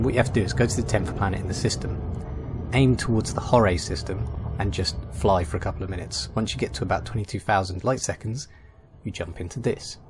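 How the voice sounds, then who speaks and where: A man giving casual guide commentary for a video game, as the host of a gaming video. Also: on the low side.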